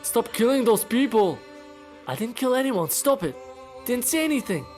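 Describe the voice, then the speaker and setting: Man acting as young female monster hunter, pitched up, indignant, defiant, fantasy game dialogue, music behind. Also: sing-song, moderate pace, a little mellow. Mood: indignant, shouting. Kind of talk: amateur voice-over of game dialogue.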